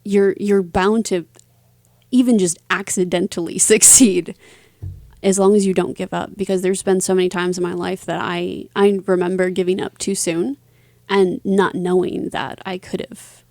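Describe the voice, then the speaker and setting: A woman's voice: lowish voice, level tone, moderate pace, a little mellow, dry recording, studio quality. A woman speaking as an interview guest, telling her stories casually with a self-deprecating, lightly giggly manner. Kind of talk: casual conversational storytelling.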